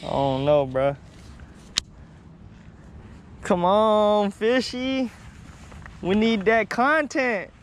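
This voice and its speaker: A man casually vlogging outdoors as he fishes, talking in an excitable, slangy way. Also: high voice, very slow, long pauses. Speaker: fishing vlogger. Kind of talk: casual outdoor vlog chat. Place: outdoors beside a pond. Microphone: body-worn action camera. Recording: noisy outdoor action camera audio.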